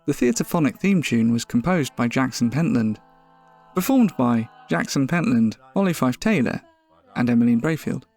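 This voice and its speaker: A man's voice: mid-range voice, very animated, normal speed, gravelly, a little mellow. A man reading scripted narration as a podcast announcer. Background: music.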